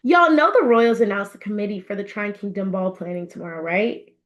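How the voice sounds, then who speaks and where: A woman's voice: medium pitch, fairly quick, highs cut off, natural voice. A woman voicing a cheeky, smirking young female water spirit in a storybook, with a teasing, sassy manner. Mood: gossipy.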